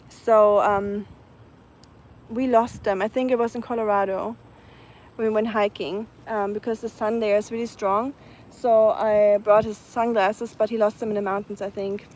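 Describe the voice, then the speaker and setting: A woman from Germany with a German accent, chatting casually and softly outdoors, with many ums. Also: a little high. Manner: soft-spoken, chatty, many ums, laughs lightly. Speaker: vlogger. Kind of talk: casual live chat outdoors. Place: outdoors in the evening, nature sounds around.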